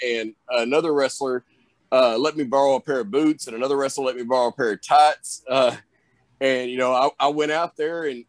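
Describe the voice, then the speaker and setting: A man's voice: medium pitch, no echo. Young man, Southern drawl, talks in loose rambling bursts full of "you know," recorded very dry and close. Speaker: pro wrestler guest. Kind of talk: casual interview storytelling.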